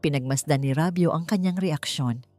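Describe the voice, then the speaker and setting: A woman with a Filipino accent narrating a scripted romance story in slow, deliberate, dramatic phrasing. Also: low voice, warm tone, soft background music.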